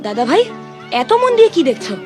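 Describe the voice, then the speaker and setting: A woman's voice: sits high, very animated. A woman voicing a mischievous little boy in a cartoon, a teasing younger brother with a cheeky way of talking. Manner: cheeky, quick, chattering. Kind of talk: cartoon voice acting. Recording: faint music behind the voice.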